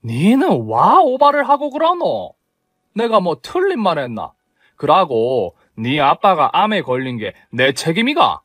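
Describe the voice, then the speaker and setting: A man putting on a sharp-tongued Gyeongsang mother-in-law. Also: a little high, huge pitch swings, a little slow, no echo, clean studio sound, voice pushed up, heavily acted. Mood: scolding. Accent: Gyeongsang dialect. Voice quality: scolding, sarcastic.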